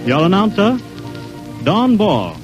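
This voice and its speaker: A man's voice: high voice, huge pitch swings, slow, dull audio, over music. An American man announcing in a formal, emphatic 1930s radio advertising style, on a vintage broadcast recording.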